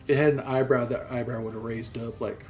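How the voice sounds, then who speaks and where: A man's voice: mid-range voice, steady tone, fast, faint music behind. A man playing a tabletop roleplaying game, chatting casually with a wry, joking manner.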